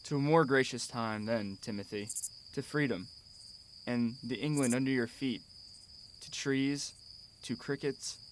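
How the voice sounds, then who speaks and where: A man's voice: medium pitch, slight background noise, close to an everyday voice. Man acting a tender, earnest young father-figure. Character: gentle, earnest English husband and expectant father. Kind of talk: radio drama acting.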